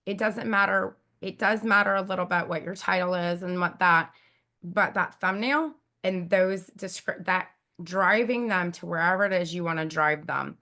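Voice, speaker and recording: A woman with a raspy, squeaky voice, chatting casually as an interview guest and giving advice with lots of fillers and emphatic repeats. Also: moderate pace, bright, forceful tone. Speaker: guest YouTube expert.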